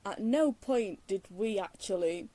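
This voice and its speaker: A woman's voice: medium pitch, animated, measured pace, mellow, dry recording. A woman giving casual, rambling playthrough commentary in a teasing, exasperated, giggly manner. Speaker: streamer playing a story game.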